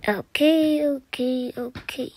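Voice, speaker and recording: A young woman chatting through a survival game, her voice scratchy this morning, clearing her throat and often dropping to a whisper. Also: sits high, slow, darkish tone. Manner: chatty, self-deprecating, often drops to a whisper. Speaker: gaming channel host. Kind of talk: casual gameplay commentary.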